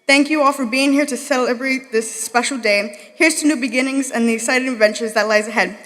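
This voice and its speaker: A young woman reads a graduation speech with care, tripping over a word now and then. Her voice is a little high. The sound rings with a long hall reverb. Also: brisk, a bit bright, a little hiss or hum. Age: young adult. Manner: reads carefully, occasional stumbles. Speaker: graduating student speaker. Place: large hall.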